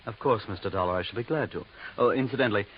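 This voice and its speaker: A man's voice: lowish voice, very fast, lightly acted. An actor playing a prim, obliging male office assistant in a 1950s radio drama, speaking formally and with eager politeness. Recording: dull, muffled vintage radio recording.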